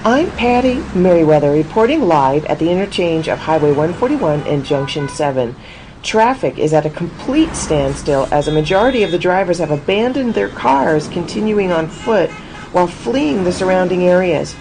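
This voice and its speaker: A woman's voice: on the low side, expressive, moderate pace, background noise. A woman playing a serious field reporter, delivering a formal mock live news report.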